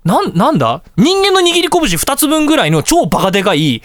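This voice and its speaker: A man hosting a radio show, telling everyday anecdotes in a casual, excitable way and acting out little exchanges. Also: very high voice, brisk, dry recording, clean studio sound. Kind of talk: casual storytelling radio talk.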